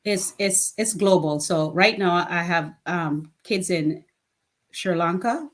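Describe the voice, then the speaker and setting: Low-pitched woman in her fifties. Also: takes it slowly. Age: 50s. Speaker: guest, children's charity founder. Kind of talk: conversational interview answers.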